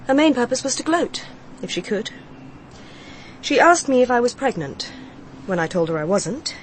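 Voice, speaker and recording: A woman's voice: lowish voice, close to an everyday voice. Candid, wounded woman over background noise, acted. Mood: hurt. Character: grieving, candid mistress confiding in a detective. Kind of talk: radio crime drama dialogue.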